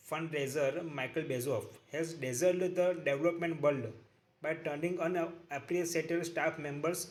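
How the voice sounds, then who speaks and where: A man reading a book aloud in a halting way, repeating each sentence and correcting his own slips. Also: on the high side, poor audio.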